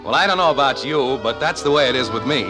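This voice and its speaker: A man's voice: medium pitch, lively intonation, fast talker, poor audio. A man doing theatrical, folksy 1950s radio announcing and scripted narration. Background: music.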